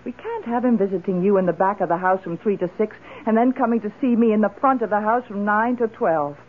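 A woman's voice: medium pitch, fairly quick, natural voice. An actress playing an elderly, tipsy woman, wistful and fond, in theatrical 1970s radio drama style. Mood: earnest, resolute. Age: older. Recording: muffled old radio broadcast.

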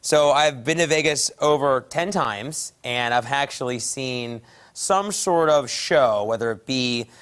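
A man's voice: medium pitch, unhurried, a bit bright, smooth. A young man co-hosting a morning TV show, easygoing and a little flustered, on a lapel mic in a studio. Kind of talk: casual studio banter. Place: TV studio set.

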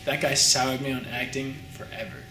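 An actor playing an embittered former child actor in a mockumentary interview. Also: male voice, medium pitch, flat delivery, moderate pace, echoey room, background noise, close to an everyday voice. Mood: bitter, subdued. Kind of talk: mockumentary interview comedy acting.

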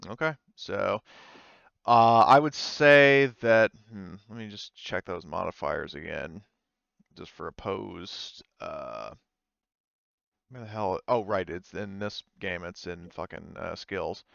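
A man casually running a tabletop sci-fi game as game master, thinking aloud in a halting, offhand way. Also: low voice, vocal fry, a bit bright, no room sound. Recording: muffled voice-chat audio.

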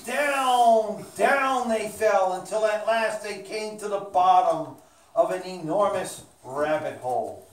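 Slow-paced woman narrating a children's story. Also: mid-range voice. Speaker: puppet show narrator. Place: indoor children's room. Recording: echoey room, distant mic, faint noise.

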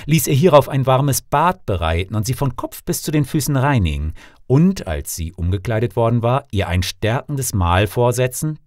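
A man reading a fairy tale as a storyteller, expressive, theatrical and deliberate. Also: fairly low pitch, no room sound, clean studio sound. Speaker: storyteller, actor.

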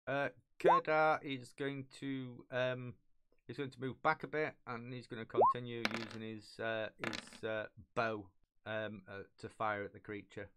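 A middle-aged man acting as game master, narrating and calling rules haltingly, humming little filler syllables as he thinks. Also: mid-range voice, flat delivery, fairly bright tone, clean tone. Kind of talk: improvised tabletop game narration and rules talk. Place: small indoor room, online video call.

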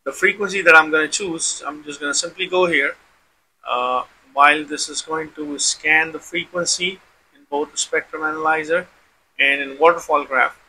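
A man's voice: on the high side, steady tone, normal speed, bright, forceful tone, slight background noise, poor audio. A man hosting an informal tech demonstration, explaining step by step and often repeating himself. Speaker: tutorial host.

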